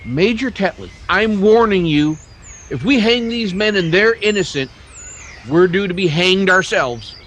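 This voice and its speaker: A man's voice: high-pitched, lively intonation, a little slow, fairly bright tone, slight background noise. A man playing an earnest townsman in a radio western, pleading and a little hesitant. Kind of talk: old-time radio drama acting.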